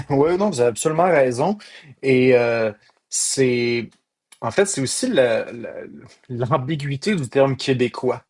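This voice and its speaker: A man's voice: mid-range voice, expressive, a little slow, a little mellow, slightly rough. Male current affairs panelist, middle register, explanatory and self-correcting. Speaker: radio panelist, former schoolteacher. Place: radio studio.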